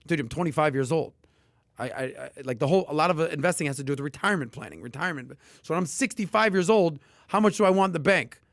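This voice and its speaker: A man hosting a casual podcast and explaining investing in an emphatic, animated way, with sudden loud bursts. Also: on the high side, fast, no echo, studio quality. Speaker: podcast host.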